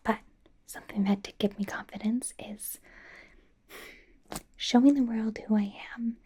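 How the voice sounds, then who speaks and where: A woman playing a bubbly, fawning young female K-pop idol stylist in a soft, breathy ASMR roleplay. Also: mid-range voice, fairly even intonation, slight background noise, natural voice. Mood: sincere, reassuring. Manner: gushing, casual, slow, with repeated soft reassurances. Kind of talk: whispered ASMR roleplay, personal attention.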